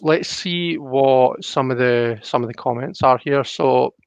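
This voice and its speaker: A man hosting a casual live stream over an online call, talking thoughtfully and haltingly and reading out comments. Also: mid-range voice, clear, resonant tone, no echo, highs cut off. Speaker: live stream host and card reader. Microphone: online call microphone.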